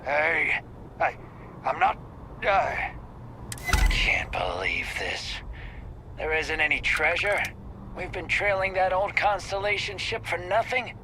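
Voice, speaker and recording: A man's voice: a little high, lively intonation, slow pace, long pauses. Cocky male space pirate, noisy background. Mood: baffled. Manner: taunting, informal, clipped tough talk. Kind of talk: scripted video game dialogue.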